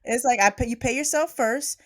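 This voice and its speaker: A woman's voice: fairly high pitch, a little fast, fairly bright tone, no room sound, clean studio sound. A woman hosting a podcast interview, upbeat and chatty, laughing often. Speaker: podcast host. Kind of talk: casual interview chat.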